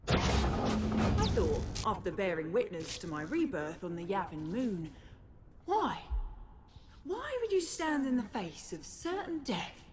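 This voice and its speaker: A menacing, possessed host of a dark emperor in a game. She is theatrical and slow, pitch swinging wildly, with clear noise behind. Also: huge pitch swings. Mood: menacing disbelief. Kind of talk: dramatic game cutscene acting.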